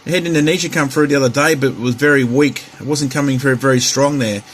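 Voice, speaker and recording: An Australian man chatting casually and haltingly as a hobby radio operator, often repeating himself. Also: mid-range voice. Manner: halting, repetitive. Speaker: CB radio operator demonstrating his equipment. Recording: clear background hiss and radio noise.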